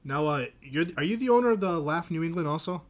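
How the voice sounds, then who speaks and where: A male podcast host asks questions in a casual, friendly way. He talks quickly and keeps the conversation moving. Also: fairly high pitch, animated. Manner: friendly, interested, quick affirmations. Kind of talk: casual interview.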